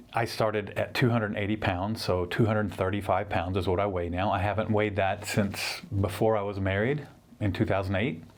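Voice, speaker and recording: A man in his fifties with a fairly low voice talks straight to his viewers in an earnest, meandering way. He circles back, repeats himself and restarts sentences as he shares a personal update. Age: 50s. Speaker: orthopedic surgeon and channel host. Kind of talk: casual personal update to camera.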